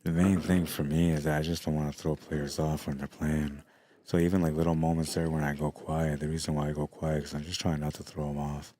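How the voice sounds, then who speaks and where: A middle-aged man commentating a pool match in a hushed, unhurried voice with a very deep bass, tired late in the day. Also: level tone, a little hiss or hum. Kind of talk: quiet live sports commentary. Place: pool hall during a tournament.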